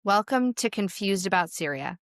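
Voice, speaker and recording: A woman co-hosting a podcast, speaking in a measured, articulate way as she lays out the plan for the series. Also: mid-range voice, lively intonation, no room sound, studio quality. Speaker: podcast co-host, aid worker. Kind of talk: scripted podcast introduction.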